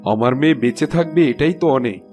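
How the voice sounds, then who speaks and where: A man's voice: a little high, quick pace, warm tone, natural voice. A man voicing an anxious fairy-tale king, a fond husband and father, earnest and pleading in a cartoon. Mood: troubled. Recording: music behind the voice. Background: music.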